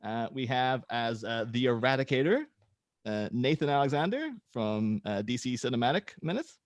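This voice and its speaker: A man hosting and narrating a script read-through in a casual, somewhat halting manner. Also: mid-range voice, animated, no room sound, studio quality. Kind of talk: casual introduction, then reading screenplay directions aloud.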